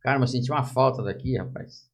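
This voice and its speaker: A man's voice: mid-range voice, fast talker. A man hosting a podcast, chummy and eager, full of tag questions. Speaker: podcast host. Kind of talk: casual interview chat.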